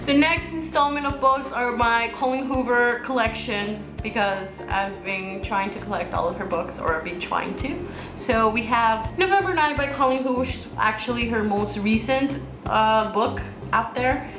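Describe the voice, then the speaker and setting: A woman's voice: fairly high pitch, normal speed. A young woman from Mexico whose first language is Spanish, rambling chattily through a casual book haul vlog with frequent restarts. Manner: chatty, rambling, many restarts and repeated words. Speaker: book vlogger. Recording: roomy sound with music underneath.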